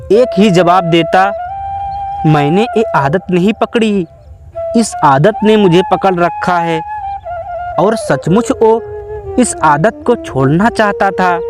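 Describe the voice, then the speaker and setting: Male narrator for moral fables: high voice, unhurried deliberate delivery, music underneath. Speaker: storyteller. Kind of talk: scripted moral story narration in Hindi.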